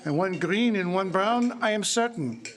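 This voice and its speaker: An actor playing a courtly, self-satisfied Belgian detective with a French-speaking accent, explaining precisely in a theatrical live mystery drama. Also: male voice, sits high, animated, moderate pace. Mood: smug explaining. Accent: French-speaking Belgian, put on. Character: Belgian detective, courtly, pompous, confident man. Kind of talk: live radio-style mystery drama performance. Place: public library live stage before an audience.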